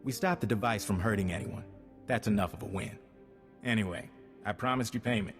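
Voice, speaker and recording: An actor playing a friendly, wry intelligence agent in a video game. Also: male voice, on the low side, brisk, in a large hall, faint music behind, lightly acted. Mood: friendly, relieved.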